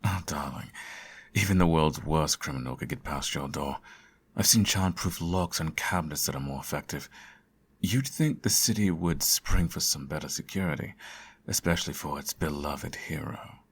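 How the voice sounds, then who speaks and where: A man playing a sardonic, theatrical supervillain who fusses over his nemesis in an ASMR audio roleplay, his voice slightly husky. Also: extremely low pitch, normal speed, heavily acted. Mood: smug, teasing. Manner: theatrical, teasing, sarcastic.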